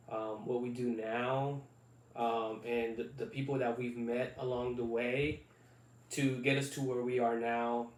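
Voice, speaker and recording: Flat-voiced man on an echoey podcast. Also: medium pitch. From Puerto Rico. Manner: halting, many fillers and restarts. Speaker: podcast co-host. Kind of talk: casual chat. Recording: echoey room, mic at a distance, faint noise.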